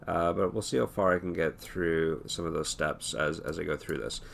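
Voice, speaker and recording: A hoarse man talking through an animation tutorial in a relaxed, thinking-aloud way, with many fillers and restarts. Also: deep voice, moderate pace, slight background noise. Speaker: animation instructor. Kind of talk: screen-recorded tutorial talk.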